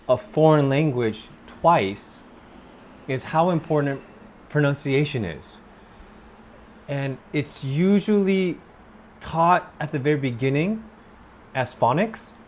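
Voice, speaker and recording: A man, from Korea, talking slowly and thoughtfully about his work as an English teacher in a personal vlog. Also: medium pitch, a little hiss or hum. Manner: slow, thoughtful, with pauses and fillers.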